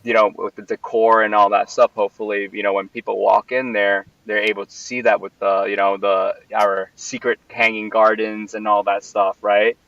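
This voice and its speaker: A man's voice: fairly low pitch, little pitch movement, moderate pace, hardly any pauses, a bit bright, dry recording. A man from Puerto Rico, a venue owner, answering interview questions in a rambling way with many fillers and restarts. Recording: distant, dull, lo-fi mic.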